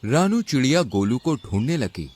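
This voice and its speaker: Male storybook narrator, animated intonation, mid pitch, scripted delivery with faint background noise. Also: normal speed.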